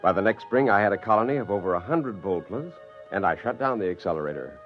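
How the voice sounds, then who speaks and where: Animated, gleeful scientist played by a man, vintage radio. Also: lowish voice, fast, lightly acted. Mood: proud narration. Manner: theatrical, gleeful, coaxing. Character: exuberant scheming male scientist, fond creator of creatures. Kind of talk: 1950s radio acting, first-person narration and dialogue. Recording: dull vintage radio recording with music.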